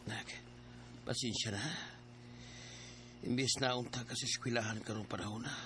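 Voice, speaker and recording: A man's voice: medium pitch, fairly even intonation, moderate pace, a bit bright, a little hiss or hum, close to an everyday voice. Ailing, apologetic Cebuano father, played by a man. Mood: weary, apologetic. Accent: Filipino Cebuano.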